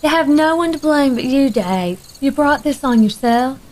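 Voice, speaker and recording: A woman's voice: high voice, lively intonation, normal speed, darkish tone, rough recording. An actress playing a nervous, snarky young woman exploring with friends in an audio drama, with a jittery manner.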